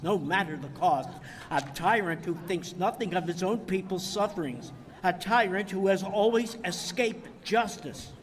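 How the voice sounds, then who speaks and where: High-voiced man playing an indignant little whistleblower, echoing hall. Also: distant mic, close to an everyday voice. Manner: oratorical, declamatory, deliberate. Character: small, insignificant man turned earnest, indignant whistleblower. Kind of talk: formal speech in a game cutscene. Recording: hall reverb, faint background noise.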